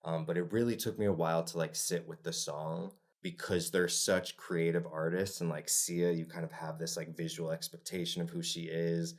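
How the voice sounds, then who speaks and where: A man from the Czech Republic who grew up in Los Angeles, a music video director talking as a podcast guest in a relaxed, reflective way with frequent "like" fillers. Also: low-pitched, normal speed, few pauses. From Czech, raised in Los Angeles. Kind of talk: casual interview conversation.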